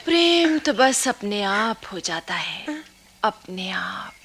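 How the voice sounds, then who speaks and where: A woman's voice: medium pitch, slow pace, slight background noise, heavily acted. A woman actor playing a teasing, reassuring young woman, a close friend, in a Hindi radio play with an Indian accent. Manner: playful, teasing, expressive.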